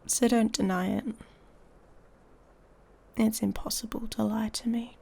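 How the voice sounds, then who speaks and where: A woman playing an irritated, haughty female werewolf in an intimate ASMR roleplay, breathy and often whispering, taunting and seductive. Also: on the high side, fairly even intonation, warm tone, exaggerated voice. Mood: taunting, grudging desire.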